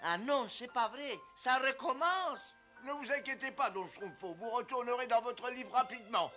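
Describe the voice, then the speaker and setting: A man voicing a gallant, earnest little Smurf with dramatic, exclamatory delivery in an animated cartoon dub. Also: very high voice, normal speed. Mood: urgent. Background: background music.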